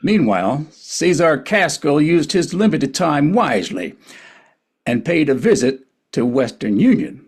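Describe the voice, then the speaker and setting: A man's voice: fairly high pitch, sing-song, a little slow, husky. A man narrating a historical play from a script at a table reading.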